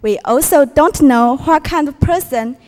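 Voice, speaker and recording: A woman's voice: high-pitched, a little slow, a little mellow, faint music behind. A teenage girl from Taiwan with a Taiwanese accent gives a prepared contest speech in English, reciting carefully and putting questions to the audience. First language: Mandarin Chinese. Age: teens. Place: school auditorium. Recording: reverberant hall from a distance.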